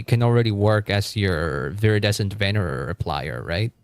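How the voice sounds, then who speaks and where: A man live-streaming a game, chatting casually and thinking aloud in an informal way, with casual swearing. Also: lowish voice. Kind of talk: casual live-stream chat while gaming.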